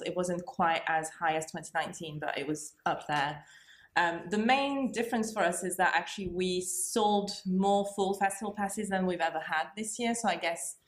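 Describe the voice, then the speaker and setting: Lowish-voiced woman in an echoey room. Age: 30s. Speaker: film programmer, panellist. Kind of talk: panel discussion, informal report.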